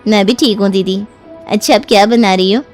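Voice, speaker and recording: A woman's voice: mid-range voice, steady tone, a little fast, faint music behind, natural voice. Woman acting a breezy, demanding young wife.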